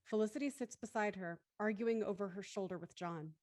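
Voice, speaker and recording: A woman's voice: mid-range voice, a little fast, darkish tone, dry recording. A woman reading scripted narration for an audio drama thriller.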